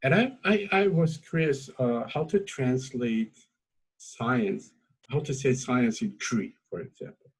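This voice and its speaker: A man's voice: medium pitch, unhurried, breathy edge, poor audio. A man whose first language is Azeri, hosting an informal academic discussion in English, speaking hesitantly with many fillers and restarts. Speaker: academic host.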